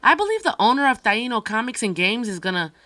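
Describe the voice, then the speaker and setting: A woman's voice: a little high, very animated, quick pace, background noise. A woman playing an announcer at a shop opening in an audio drama, speaking in a public, slightly exasperated way. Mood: announcing.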